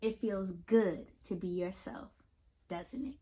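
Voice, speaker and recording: A woman's voice: medium pitch, takes it slowly, warm tone, breathy edge. An American woman hosting a children's read-aloud, speaking directly and reassuringly to young listeners and repeating phrases expressively for emphasis. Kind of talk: picture book read-aloud with talk to children.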